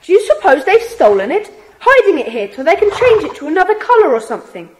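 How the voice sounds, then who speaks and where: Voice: very high voice, very animated, fast talker, darkish tone, a little hiss or hum, poor audio. A performer playing a curious, cautious young schoolboy sidekick in a children's audio drama, eager and chatty.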